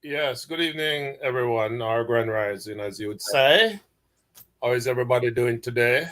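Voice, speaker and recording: A man's voice: medium pitch, normal speed, a bit bright. A man leading a workshop over a video call, greeting everyone in a friendly, joking way. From Caribbean-born, living in Canada. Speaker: guest instructor.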